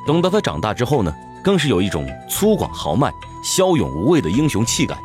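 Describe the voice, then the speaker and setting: A man delivering scripted narration as a voice actor, in a dramatic, measured storytelling manner. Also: medium pitch, wildly swooping pitch. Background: music.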